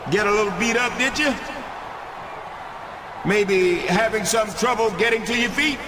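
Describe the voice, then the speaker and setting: High-voiced, gloating wrestling boss. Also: male voice, measured pace, a crowd in the background, noisy background. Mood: gloating, menacing. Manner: slangy, gloating, menacing. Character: vindictive, taunting male wrestling general manager. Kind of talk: video game cutscene acting.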